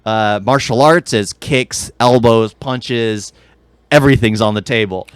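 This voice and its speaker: A man's voice: medium pitch, unhurried, smooth, dry recording. Casual young man podcaster, dry close sound. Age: young adult. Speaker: podcast co-host. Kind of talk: casual movie chat.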